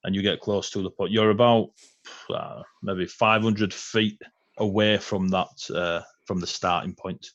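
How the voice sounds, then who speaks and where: A man's voice: low voice, steady tone, unhurried, no room sound, muffled recording. A man running a tabletop game as game master, chatty and rambling, with fillers and laughs.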